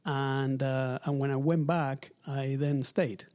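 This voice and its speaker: A middle-aged man from Argentina speaks with a noticeable Argentine Spanish accent, his voice warm and mellow. He talks through an interview in a measured, reflective way, pausing on fillers as he thinks. Spanish is his first language. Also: medium pitch, little pitch movement. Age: 40s. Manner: measured, thoughtful, frequent um fillers. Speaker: retail executive guest. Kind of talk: interview conversation. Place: office at company headquarters.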